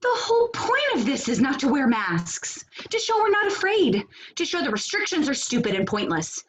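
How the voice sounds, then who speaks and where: A woman acting a blunt, sardonic protest organizer in a stage comedy over a video call, with a brisk, dry, bossy delivery. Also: high voice, big pitch swings, a bit bright, a little hiss or hum. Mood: exasperated. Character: blunt, sardonic female leader of a protest cell.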